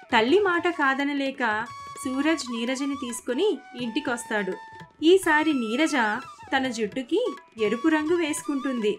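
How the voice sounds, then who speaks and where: A woman narrating a scripted story. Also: sits high. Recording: constant background music. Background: music.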